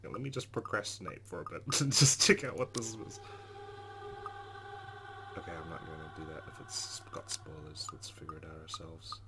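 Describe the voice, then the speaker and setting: A man's voice: extremely low pitch, fairly even intonation, normal speed, noisy background. A man hosting a let's play, reading a visual novel aloud with dramatic, jittery asides.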